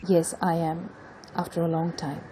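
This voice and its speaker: A woman's voice: lowish voice, little pitch movement, normal speed, dark tone, slight background noise, lightly acted. A woman with an Indian Bengali accent playing a wistful, subdued young mother in an audio drama, giving short, hesitant replies. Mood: subdued concern. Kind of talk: bilingual audio drama dialogue.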